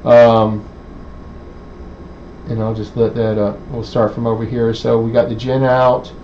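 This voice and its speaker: A man giving an unscripted technical demonstration in a halting, hesitant way, thinking aloud as he explains. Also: medium pitch, flat delivery, dark tone. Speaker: presenter of an electronics how-to video. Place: indoor electronics workbench. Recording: dull lo-fi audio with clear background noise.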